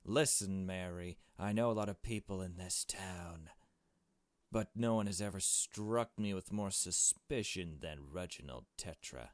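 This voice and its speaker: A man's voice: deep voice, measured pace, no room sound. A man voicing a suspicious, cynical, foul-mouthed young woman sleuth in a visual novel, insistent and blunt. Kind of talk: visual novel dialogue read aloud.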